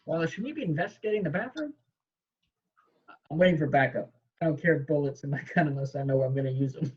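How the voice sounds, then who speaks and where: A man playing a wisecracking, gruff police detective in a horror script read at home. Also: a little high, expressive, fast talker. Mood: irritated. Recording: dull home video-call audio.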